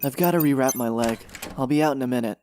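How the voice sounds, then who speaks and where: A man's voice: medium pitch, quick pace, background noise, close to an everyday voice. Guarded, uncertain teenage boy for anime drama, middle register male actor. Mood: subdued, unsure. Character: guarded, conflicted teenage son and brother. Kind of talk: anime fan audio drama.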